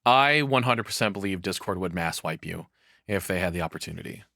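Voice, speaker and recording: A man giving casual reaction commentary as a streamer, rambling haltingly and swearing freely. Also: low-pitched, expressive, creaky, bright, forceful tone, dry recording, studio quality.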